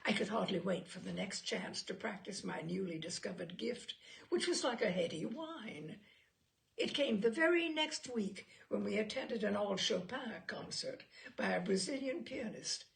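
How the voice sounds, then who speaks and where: A woman reading humorous short fiction aloud as an expressive, deliberate and theatrical literary narrator. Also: mid-range voice, gravelly. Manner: deliberate, theatrical delivery with dialogue acted out. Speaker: reader of humorous short stories.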